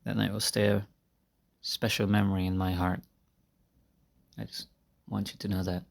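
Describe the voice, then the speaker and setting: A man playing a shy, earnest high school senior in an intimate roleplay, talking softly and hesitantly to his crush. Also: little pitch movement, normal speed, gravelly. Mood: tender, confessing. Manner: soft-spoken, hesitant, conversational with sighs and small laughs. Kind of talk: intimate roleplay audio monologue to a listener. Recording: amateur recording without a proper microphone.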